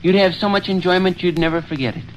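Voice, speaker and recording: A man plays an eager schoolboy in a 1930s radio comedy. His voice sits a little high, and he schemes earnestly with folksy persuasion. Light noise runs under the old recording. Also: fairly even intonation, quick pace, natural voice, poor audio. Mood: eager, coaxing. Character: eager schoolboy son scheming to host a party.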